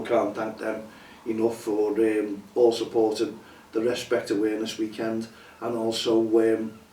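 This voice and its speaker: Hoarse scouse bloke hosting, chatty and rambly, lots of ums, bit of room hum behind. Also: male voice, on the low side, dark tone. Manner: rambling, chatty, many fillers. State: hoarse, losing his voice. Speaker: show host. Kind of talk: casual chat show monologue. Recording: roomy echo, distant from mic, faint ambience.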